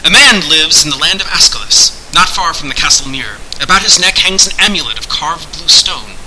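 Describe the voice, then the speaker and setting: A man's voice: on the high side, lively intonation, brisk, noisy background, pitched above the performer's own voice, natural voice. A man voicing a commanding, courteous unseen sorcerer who speaks in formal, declamatory archaic phrases. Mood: formal, bargaining.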